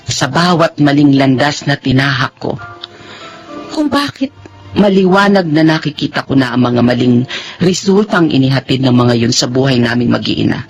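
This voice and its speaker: An actress playing a remorseful middle-aged mother in an emotional, halting radio drama style. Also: a bass voice, lively intonation, soft background music. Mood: sorrowful, reflective. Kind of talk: radio drama acting and first-person narration.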